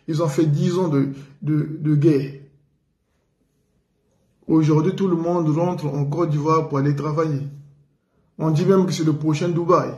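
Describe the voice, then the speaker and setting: Congolese man on radio commentary, indignant and emphatic, mellow tone, roomy echo. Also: on the high side. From Republic of the Congo. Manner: indignant, emphatic, repeats for stress. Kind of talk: political commentary monologue. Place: living in France.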